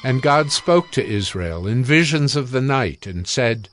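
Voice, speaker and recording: A man reading scripture as narrator of a dramatized audio Bible, measured and formal. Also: medium pitch, animated, fairly bright tone, faint music behind.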